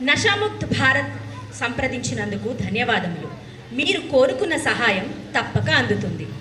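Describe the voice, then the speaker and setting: A man's voice: very high-pitched, big pitch swings, moderate pace, crowd noise. A man playing a formal, courteous helpline operator, reciting scripted lines in a stage play. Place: echoey hall, far from mic. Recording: echoey, distant mic, noisy audience hall.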